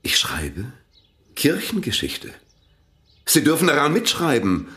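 A man's voice: on the high side, huge pitch swings, unhurried, bright, forceful tone, exaggerated voice. An actor playing a zealous, pompous village priest who invented his own language, in a radio play. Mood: indignant, grandiose. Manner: pompous, insistent.

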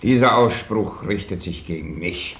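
A man's voice: sits low, a little slow, a bit bright. An actor playing a gloomy, sardonic male lodger with a dry wit in a German radio drama with roomy sound. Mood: gloomy, mocking.

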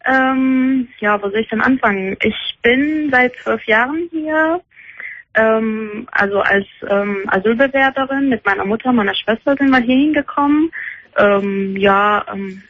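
A woman's voice: on the high side, unhurried, no echo. A woman in her twenties calling a radio show, friendly and giggly, with frequent fillers. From Iran. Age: 20s. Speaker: caller, student. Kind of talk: casual phone conversation. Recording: dull phone line on radio.

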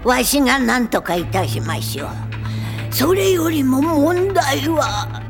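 A scheming old henchman from an anime, high-pitched and slow. He speaks in a deferential, old-fashioned way, with music behind. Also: sing-song, exaggerated voice. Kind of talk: anime voice acting.

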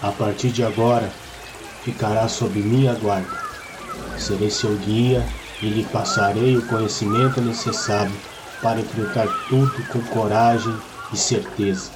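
Man acting a solemn, low-voiced Brazilian village shaman. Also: steady tone, noisy background, close to an everyday voice. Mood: firm, reassuring. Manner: measured, grave, instructive. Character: solemn village shaman, wise patient mentor to a boy. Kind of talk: scripted audio story acting.

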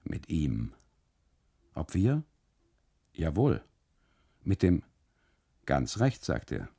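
An uneasy husband telling his story in a radio drama monologue. His voice is very low and husky, with a heavy gravelly creak. He phrases haltingly and circles back obsessively on his own words. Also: a little mellow, dry recording, studio quality, full character voice. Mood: agitated, defensive. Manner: halting, fragmented phrasing, insistent repetition. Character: uneasy German husband on holiday, anxiously recounting, obsessive. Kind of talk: literary radio monologue, 1980s German radio drama.

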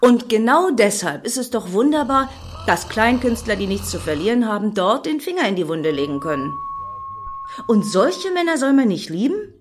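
An actress in a radio play voicing an excitable, obsessive female fan who muses dreamily and justifies herself as she rambles. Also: on the high side, normal speed, slight background noise. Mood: rapturous.

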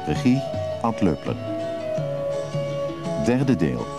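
Very deep male radio-serial narrator. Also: big pitch swings, very slow, vocal fry. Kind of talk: scripted narration. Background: plucked guitar music.

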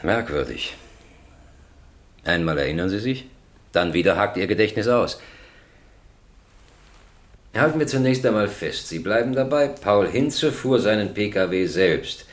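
An actor playing a stern, impatient presiding judge in a radio crime drama, questioning formally and insistently. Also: male voice, fairly low pitch, animated, unhurried, long pauses. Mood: sceptical, impatient.

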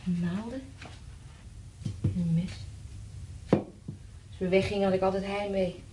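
An actress playing a restless, distrustful and wistful wife in a Dutch radio play, with a needling, musing way of talking. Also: female voice, medium pitch, fairly even intonation, slow pace, heavy background noise, close to an everyday voice. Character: restless, thirsty wife scavenging an abandoned house, distrustful and wistful.

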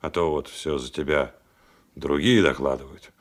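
An actor playing a gruffly kind, paternal admiral in a radio play, commanding yet informal, repeating his words. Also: extremely low pitch, normal speed. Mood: approving, coaxing.

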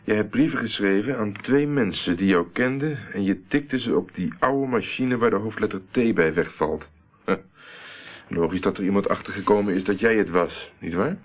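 An actor playing a shrewd, hard-nosed private detective in a radio play, questioning people in a condescending way. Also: male voice, lowish voice, moderate pace, close to an everyday voice. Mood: pressing, condescending. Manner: interrogating, condescending. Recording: muffled narrowband old radio play recording.

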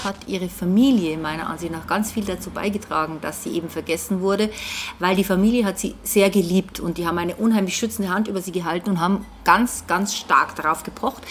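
A woman's voice: lowish voice, slight background noise. A woman giving an emphatic, spontaneous explanation as an expert in an interview.

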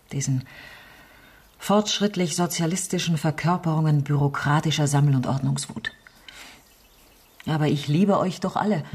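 An actress playing an embittered, outspoken West German politician in a radio crime drama, with a husky voice and sardonic, emphatic delivery. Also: female voice, low-pitched, a little mellow. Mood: wry, reflective. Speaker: lead actress and first-person narrator.

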